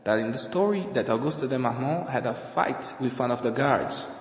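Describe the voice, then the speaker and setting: A man narrating an amateur audio drama in a halting, deliberate way. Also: mid-range voice, animated. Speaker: narrator and reader of all parts. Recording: echoey room with long reverb.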